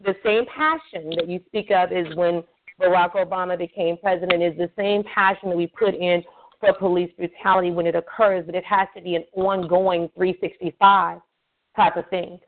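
A woman's voice: lowish voice, fairly even intonation, background noise. Earnest woman host on a tinny phone line. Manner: earnest and affirming, measured. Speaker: radio show host. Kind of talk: call-in radio talk.

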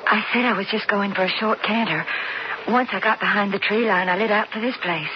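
A woman's voice: mid-range voice, a little fast, natural voice. A woman acting in a radio western as a young rancher's daughter, earnest, anxious and resigned. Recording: dull, very noisy old radio recording.

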